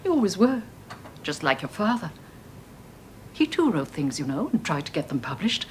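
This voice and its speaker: A fretful old mother in a radio play, chattering on and choking up as she fusses over her son. Her voice sits low for a woman but swings widely in pitch, with a faint hiss behind it. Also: very animated, moderate pace, darkish tone. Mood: doting. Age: older. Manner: fussing, rambling, tearful. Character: tearful doting elderly mother. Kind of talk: radio drama acting.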